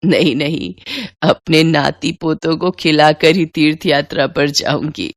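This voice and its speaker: A woman's voice: low-pitched, fairly even intonation, unhurried, dry recording, clean studio sound, voice pushed down. A woman voicing an affectionate, settled older mother in an acted storybook dialogue. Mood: tender, contented.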